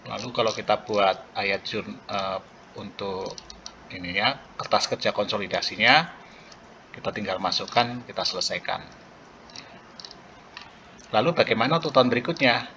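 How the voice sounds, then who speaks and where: A man giving an explanatory lecture in a halting way, pausing mid-phrase, on a muffled recording. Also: on the low side, bright, forceful tone, slight background noise. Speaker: accounting lecturer. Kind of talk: explanatory lecture walking through worked journal entries.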